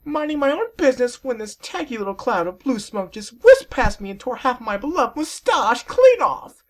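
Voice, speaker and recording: A woman's voice: a little high, big pitch swings, voice pushed up. A woman doing a wailing, vain sea serpent. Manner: wailing, melodramatic, drawn-out. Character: vain, weepy male sea serpent, huge and dramatic. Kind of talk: fan fiction read aloud.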